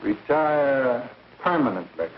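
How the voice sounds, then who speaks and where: An actor playing a cold, menacing, businesslike crime boss in a 1930s film, on a dull, noisy old soundtrack. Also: male voice, mid-range voice, lively intonation, takes it slowly. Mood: coolly menacing.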